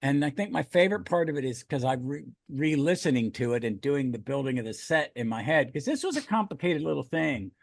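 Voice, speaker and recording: A man's voice: on the high side, lively intonation, brisk, vocal fry, hardly any pauses, dry recording, clean studio sound. A man hosting a podcast in casual review chat, talking in a rambling, enthusiastic way. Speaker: podcast host.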